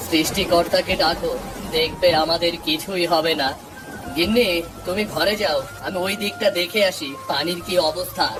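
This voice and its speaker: An earnest village father from a cartoon folk tale, acted out by a performer, anxious yet reassuring. He speaks urgently and dramatically at an ordinary pitch, with clear music playing behind him. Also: steady tone, moderate pace, lightly acted. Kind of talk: dramatic Bengali cartoon story acting.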